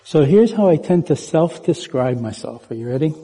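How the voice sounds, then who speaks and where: Playful preacher in an echoing church. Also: male voice, on the high side, expressive, normal speed, dark tone, breathy edge. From Puerto Rico. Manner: conversational, playful, engages the congregation with questions. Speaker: guest preacher. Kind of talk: sermon. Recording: church hall with long reverb.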